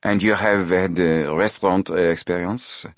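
A man's voice: low voice, fairly even intonation, dry recording, clean studio sound. Man playing suave, hesitant French restaurant manager. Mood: smoothly polite. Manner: hesitant, polite, with drawn-out phrases.

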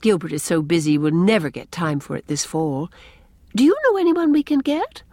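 A woman voicing a warm, eager, impulsive young married woman in a storybook, in an earnest, gentle manner. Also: on the low side, sing-song, moderate pace, no room sound. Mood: friendly.

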